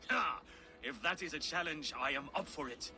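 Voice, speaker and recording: A man's voice: a little high, moderate pace, pushed and bright, soft background music. A man playing a hearty, boastful warrior and drinker in a video game. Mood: jovial, challenging. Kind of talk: video game character acting.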